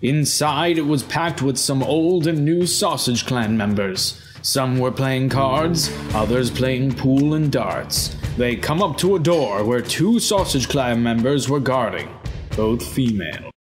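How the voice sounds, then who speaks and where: A man's voice: medium pitch, lively intonation, slow pace. Male narrator, mid-range voice, scripted storytelling, music bed. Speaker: voice actor, narrator. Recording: music under the voice.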